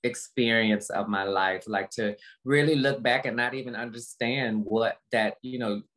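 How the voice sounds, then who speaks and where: A woman with a low voice talks reflectively and emphatically as an interview guest on a video call, repeating phrases for effect. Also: moderate pace, a bit bright. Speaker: interview guest, motivational speaker. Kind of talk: reflective personal talk in an interview.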